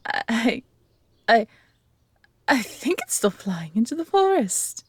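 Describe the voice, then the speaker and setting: A woman's voice: on the high side, sing-song, takes it slowly, darkish tone, slightly rough, no room sound, clean studio sound, full character voice. A woman with an English accent playing a friendly, adventurous elf archer in a roleplay audio drama, talking conversationally to the listener. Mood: amused, teasing.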